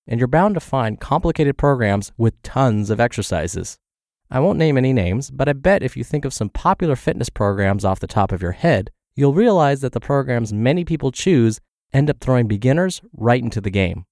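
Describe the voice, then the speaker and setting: A man's voice: mid-range voice, very animated, fairly quick, a little mellow, no echo, studio quality. A man hosting a podcast, reading health and fitness blog posts aloud while congested and scratchy from the flu. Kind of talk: read-aloud narration.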